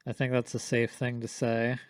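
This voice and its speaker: A man's voice: mid-range voice, monotone, moderate pace, no echo. A man co-hosting a casual group discussion. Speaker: podcast co-host.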